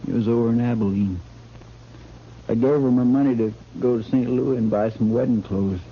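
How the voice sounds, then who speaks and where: An actor playing a deferential, simple frontier sidekick, plain-spoken and hesitant, in 1950s radio western style. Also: male voice, mid-range voice, normal speed, natural voice. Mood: wistful, hesitant. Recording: muffled old radio recording, noisy.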